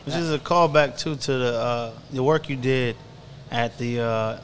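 A man's voice: mid-range voice, unhurried, a little hiss or hum. Encouraging male podcast host. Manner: encouraging, affirming with frequent "yeah". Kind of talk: casual podcast conversation. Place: indoor studio.

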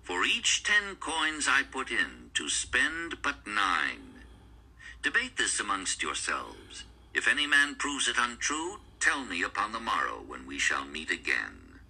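A man reading an audiobook as a narrator, in measured, declamatory storytelling style. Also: fairly low pitch, huge pitch swings, sharp tone, a little hiss or hum, poor audio. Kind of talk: scripted audiobook narration in archaic storybook English.

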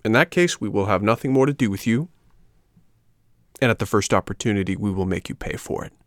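A man's voice: fairly low pitch, brisk, dry recording, clean studio sound, natural voice. A man voicing mocking rascal schoolboys in a storybook, with a jeering, emphatic and bullying manner. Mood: bossy.

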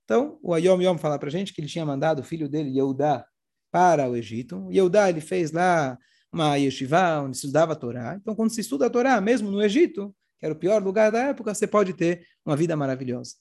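A Brazilian man, hoarse with a cold, telling religious stories informally and acting out the dialogue as he goes. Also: fairly high pitch, animated, quick pace, darkish tone. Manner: acts out dialogue while retelling. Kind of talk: animated informal religious storytelling talk.

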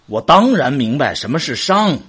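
Guy playing a defensive grumbly pal in an audio drama, really husky voice, pitch all over the place, talks very colloquial. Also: very animated, normal speed, fairly bright tone, full character voice. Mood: indignant. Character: boastful, defensive man bickering over drinks. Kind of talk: Chinese sci-fi audio drama dialogue.

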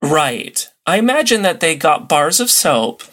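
A man's voice: on the high side, very animated, a little slow, a bit bright. Man casually explaining things as a guest. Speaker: podcast guest, old-time radio enthusiast.

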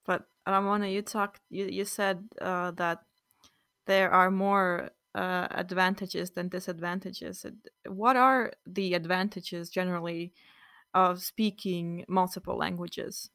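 A woman hosting a casual interview, phrasing her questions and thoughts with hesitant pauses. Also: on the low side, flat delivery, a bit bright, clear, resonant tone. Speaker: podcast host.

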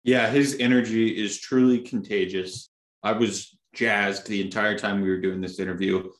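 A man chats casually as a podcast co-host. His voice is slightly low, and the room rings noticeably around it. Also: steady tone, normal speed. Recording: roomy, echoing room sound.

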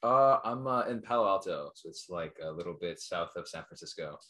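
A man co-hosting a podcast, chatting casually and relaxed, mostly giving short agreeable replies. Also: deep voice, brisk. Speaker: podcast co-host. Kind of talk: casual chat.

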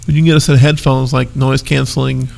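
Guy, kinda gravelly, stuffed up nose, rambling podcast chat, laughs a lot. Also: mid-range voice, level tone, fast talker, darkish tone. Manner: easygoing, laughs often, rambling asides. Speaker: podcast co-host. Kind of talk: casual chat.

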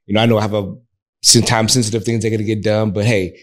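A man co-hosting a podcast in casual conversation, easygoing and measured as he works through his thoughts. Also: on the low side, flat delivery. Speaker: podcast co-host. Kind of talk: casual couples chat.

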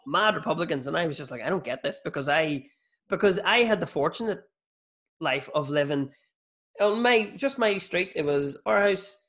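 Animated Northern Irish man, fairly high voice. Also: moderate pace. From Northern Ireland, near South Armagh. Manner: animated, sweary storyteller. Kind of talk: casual chat.

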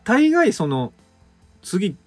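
A man's voice: high-pitched, huge pitch swings, extremely slow, soft background music. A man, a theater company member, talking casually and a little sheepishly on a radio show, explaining things in detail. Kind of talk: casual chat about theater rehearsals.

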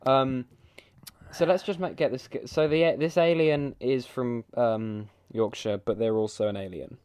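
A man's voice: medium pitch, steady tone, a little slow, darkish tone, clear, resonant tone, no room sound. A man hosting a comedy podcast, playful and rambling. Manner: playful, rambling, hesitant with fillers. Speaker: podcast host.

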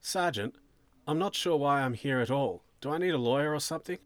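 A man's voice: on the high side, animated, brisk, dry recording, pitched above the performer's own voice, natural voice. A man playing a nervous, bewildered male suspect in a story, polite but defensive and hesitant. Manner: hesitant, perplexed, protesting.

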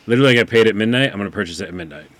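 Deep-voiced chatty podcast host. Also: male voice, rapid-fire. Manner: chatty, excitable, reads book blurbs aloud. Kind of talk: casual podcast chat. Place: studio room, sitting back from the mic. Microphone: far from the microphone.

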